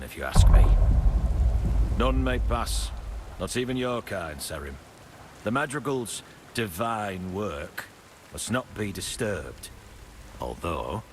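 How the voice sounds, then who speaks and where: A snooty sardonic game captain, deepish voice, kinda menacing, rainy noise around him. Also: male voice. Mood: imperious. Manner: deliberate, imperious, sardonic. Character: haughty, menacing male guard commander, sly and scornful. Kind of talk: video game character acting. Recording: clearly noisy, rainy ambience.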